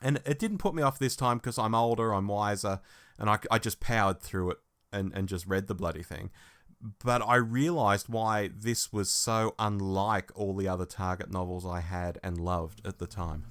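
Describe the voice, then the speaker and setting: Low-voiced Australian man chatting. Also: moderate pace, a bit bright, clean tone. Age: middle-aged. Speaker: podcast co-host. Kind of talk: casual review chat.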